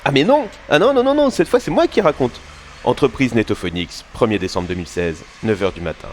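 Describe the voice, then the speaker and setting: A man's voice: medium pitch, lively intonation, a little fast, a little mellow, slight background noise, natural voice. A man playing a possessive, self-important narrator protesting for his job in a French audio comedy. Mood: indignant. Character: indignant, possessive male saga narrator guarding his job.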